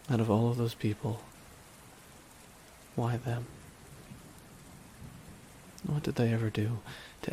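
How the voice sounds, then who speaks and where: A man playing a grieving, resigned supervillain in a dramatic ASMR roleplay, speaking a slow, deliberate, solemn monologue with long pauses. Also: mid-range voice, vocal fry, dark tone, a little hiss or hum. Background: rain falling.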